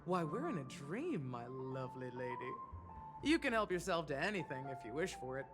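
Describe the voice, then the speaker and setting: A woman's voice: a bass voice, big pitch swings, normal speed. A woman playing a teasing, alluring dream-bar hostess in a video game, coaxing and theatrical. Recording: music clearly behind voice.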